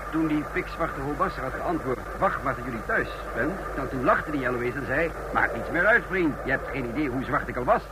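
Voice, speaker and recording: A man's voice: medium pitch, animated, a little fast, few pauses, natural voice. A man playing a hesitant, placating traveller telling an anecdote in an old radio play. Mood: eager, nervous. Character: hesitant male traveller bluffing his way into banter. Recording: very noisy old radio play, train ambience.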